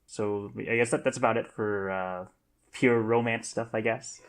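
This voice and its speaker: A man speaking quietly and hesitantly in a casual podcast discussion. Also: lowish voice, fairly even intonation, normal speed, smooth.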